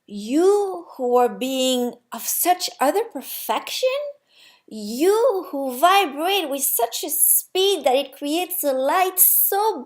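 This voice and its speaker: A woman's voice: sits high, voice pushed up, exaggerated voice. A woman voicing an eager, curious, childlike little soul in a storybook, earnest and full of wondering questions. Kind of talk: storybook character reading.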